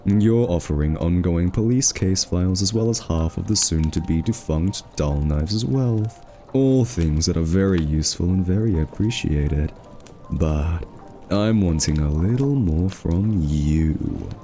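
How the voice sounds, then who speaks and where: Man acting a deep-voiced, smug flirty thief. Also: unhurried, warm tone, faint music behind. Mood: scheming, confident. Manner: teasing, drawling, suggestive innuendo. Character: smug, flirty male thief, teasing and scheming. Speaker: voice actor. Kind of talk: ASMR roleplay, scripted character acting.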